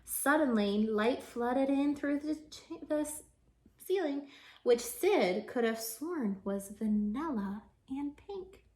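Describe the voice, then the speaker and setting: A woman teacher reading a rhyming children's storybook aloud with expressive narration. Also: sits high, slow. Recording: echoey, roomy sound.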